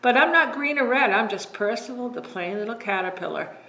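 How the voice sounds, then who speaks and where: A woman voicing a plain, gentle little male caterpillar in a storybook, speaking softly and wistfully. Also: on the high side, expressive, fairly quick. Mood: sad. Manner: soft, sad and wistful. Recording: echoey room.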